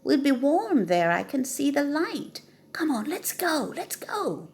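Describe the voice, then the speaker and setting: Lady doing an excited rooster in a kids story, very high voice, eager n urgent. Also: a little slow, exaggerated voice.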